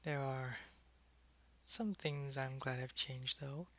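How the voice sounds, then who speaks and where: Man playing a creaky-voiced, old-fashioned vampire. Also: mid-range voice. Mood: wistful, reminiscing. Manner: unhurried, casual, soft-spoken, often dropping into whispers. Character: old-fashioned, wistful, friendly male vampire, centuries old. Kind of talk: intimate ASMR roleplay monologue.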